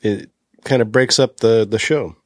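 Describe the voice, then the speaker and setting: A man's voice: fairly low pitch, a little slow, no room sound, studio quality. Man musing over a beer's taste. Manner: thoughtful, describes flavors. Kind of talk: casual chat.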